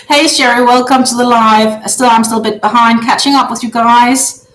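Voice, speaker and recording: A woman in her thirties from Denmark with a Danish accent, a native Danish speaker, hosting a casual livestream from her big living room and chatting with viewers in a halting way with lots of filler sounds. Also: fairly high pitch, steady tone, fairly bright tone, a little hiss or hum. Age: 30s. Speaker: livestream host. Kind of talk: casual livestream chat, reading out viewer comments. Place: large open-plan living room at home. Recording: roomy echo.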